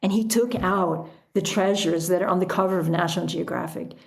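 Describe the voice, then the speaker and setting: A middle-aged woman telling stories casually as an interview guest, in an animated, chatty way. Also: on the low side, brisk, gravelly. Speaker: podcast guest, author and sailor.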